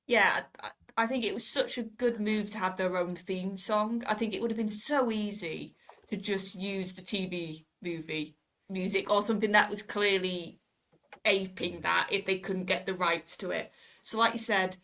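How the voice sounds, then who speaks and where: A woman's voice: mid-range voice, fairly even intonation, moderate pace, a bit bright. A woman on a podcast panel, casually sharing her opinions in conversation. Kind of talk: casual chat, giving opinions.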